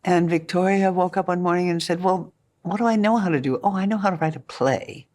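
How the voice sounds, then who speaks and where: A man with a somewhat high voice recounts events briskly. His delivery is animated and conversational. He speaks as part of a documentary interview. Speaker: play co-writer.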